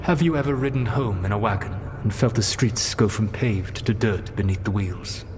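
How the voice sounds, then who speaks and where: An actor playing a grave, calculating ancient elven god who speaks formally and with measured solemnity. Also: male voice, on the low side, background noise, lightly acted. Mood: sorrowful. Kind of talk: fantasy game dramatic dialogue.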